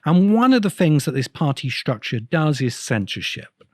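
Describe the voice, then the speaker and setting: A man giving unscripted spoken commentary as a video essayist, explaining things deliberately with emphatic pauses. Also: a little high, sing-song, no room sound, studio quality.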